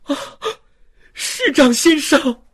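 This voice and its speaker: A man's voice: extremely high pitch, very animated, slow, pitched above the performer's own voice, exaggerated voice. A man voicing a desperate, sick young mother in a dramatic audiobook, pleading in wailing cries. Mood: alarmed.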